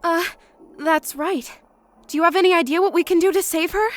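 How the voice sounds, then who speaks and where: A woman's voice: very high-pitched, moderate pace, dry recording. A woman voicing an earnest, emotional teenage schoolgirl in an anime-style game dub.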